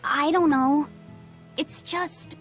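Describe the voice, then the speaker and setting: A woman's voice: high-pitched, steady tone, extremely slow, soft background music. A woman voicing an outspoken young woman in a dramatic anime-style fantasy game. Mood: wary.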